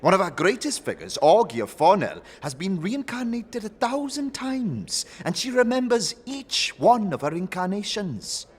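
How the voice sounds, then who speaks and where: Man playing hearty, animated older faction leader. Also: high-pitched, breathy edge, long reverb tail, voice pushed up, exaggerated voice. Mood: earnest, zealous. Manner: grand, expansive, theatrical, drawn-out phrasing. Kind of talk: fantasy audio drama acting.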